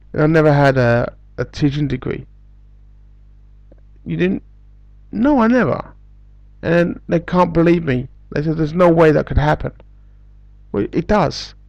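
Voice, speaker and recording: Gravelly English man giving chatty advice. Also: on the high side, a little mellow, no echo, highs cut off. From England. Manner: chatty, rambling, many fillers and restarts. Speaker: English school recruiter. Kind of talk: casual advice video.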